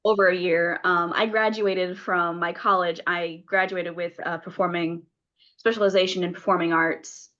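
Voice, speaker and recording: Young woman w/ lower voice just chatting on a call, kinda dull lo-fi sound. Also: little pitch movement, normal speed, fairly bright tone. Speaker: actor taking part in a table read. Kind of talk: casual personal chat.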